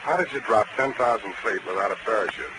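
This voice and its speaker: An actor playing a cynical, unflappable private detective with a dry, sardonic delivery in a 1940s radio crime drama. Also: male voice, lowish voice, quick pace, natural voice. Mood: dry, skeptical. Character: wisecracking hard-boiled male private detective, cynical, unflappable. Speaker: detective narrator. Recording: noisy old 1940s radio broadcast.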